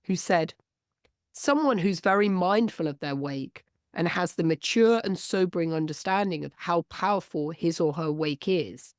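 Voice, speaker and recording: Low, hoarse woman host with a cold, earnest solo podcast talk, dry close mic. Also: moderate pace, dry recording. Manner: earnest, explanatory.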